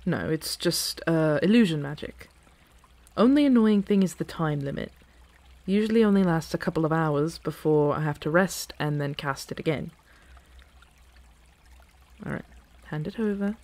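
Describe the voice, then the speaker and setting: A woman playing an earnest, playful dragon in human form in a fantasy ASMR roleplay, with a casual, teasing, halting delivery. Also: sits low, a little mellow. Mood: amused, casual.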